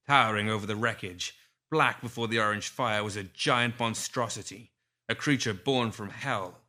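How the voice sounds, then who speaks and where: A man's voice: fairly low pitch, pushed and bright. A man reading scripted fiction aloud as a storyteller, with a theatrical, suspenseful delivery. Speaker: story reader. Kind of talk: scripted dramatic fiction narration.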